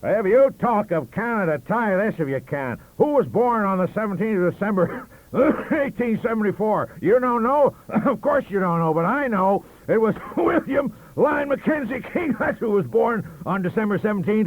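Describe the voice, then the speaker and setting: A man voicing an excitable, boastful professor who blusters and stammers emphatically in an audiobook story. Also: high-pitched, moderate pace, no echo, pitched above the performer's own voice, low-quality recording. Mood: gloating. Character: excitable, boastful male Canadian professors squabbling loudly.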